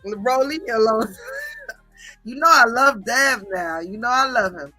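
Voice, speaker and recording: Sassy, animated middle-aged New woman. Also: on the high side, low-quality recording. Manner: animated, sassy, slangy, drawn-out emphatic words. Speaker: livestream host reading viewer comments. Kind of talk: casual chatty storytelling.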